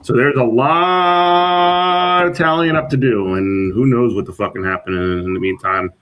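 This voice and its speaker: A man's voice: medium pitch, unhurried, clean tone, highs cut off. A man co-hosting a casual livestream, laid-back, swearing and laughing as he chats. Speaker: stream co-host. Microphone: laptop setup on the road.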